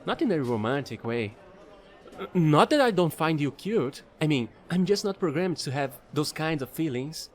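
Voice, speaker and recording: Guy doing a chatty fallen angel space pilot, high voice that swoops around, playful audio roleplay. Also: sing-song, normal speed. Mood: flustered, sincere. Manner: rambling, playful, pleading, casual slangy talk. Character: offbeat fallen angel space trader, non-binary, eager and chatty. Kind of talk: audio roleplay monologue addressed to the listener.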